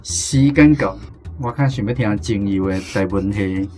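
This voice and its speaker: A man's voice: lowish voice, measured pace, a little mellow, soft background music. A man with a Taiwanese accent hosting a language quiz in a conversational way, moderating between contestants and teachers. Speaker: host of a radio language quiz segment.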